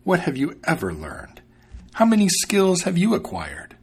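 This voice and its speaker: A man voicing an arrogant, scornful male fox in a storybook reading, with a husky voice. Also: high-pitched, huge pitch swings, measured pace, pitched above the performer's own voice, heavily acted. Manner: sneering, haughty.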